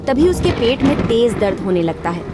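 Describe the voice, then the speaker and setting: A woman narrating a children's cartoon story in a steady, even voice. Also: fairly high pitch, brisk. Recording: narration over loud background music.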